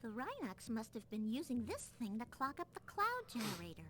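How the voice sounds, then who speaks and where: A cheerful female quest-giver from a video game, high and swooping in pitch as she explains each task. Loud game music plays under her lines, which come through the game's sound. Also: sing-song, fairly quick. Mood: explaining. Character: friendly female game character asking for help.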